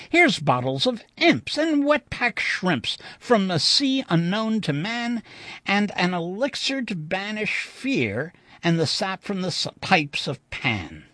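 A reader voicing a scrawny, bald old shopkeeper who is cheerful and quibbling, with a raspy, piping voice, in an audiobook. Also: mid-range voice, sharp tone, dry recording, heavily acted. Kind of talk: audiobook reading.

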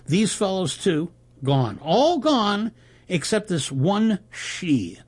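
A man voicing a jovial newspaper reporter who rambles haltingly through a joke in a storybook reading. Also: high voice, very animated, breathy edge, pitched above the performer's own voice, heavily acted. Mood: amused, rambling. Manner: halting, rambling raconteur with asides. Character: jovial wire-service reporter spinning a barroom joke.

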